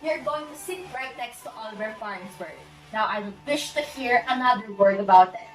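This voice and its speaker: Teenage girl as high-voiced, exasperated society mother. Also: expressive, normal speed, echoey room, distant mic, over music, close to an everyday voice, rough recording. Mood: scolding. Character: exasperated society mother, bossy, fussy hostess. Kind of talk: amateur one-act play acting.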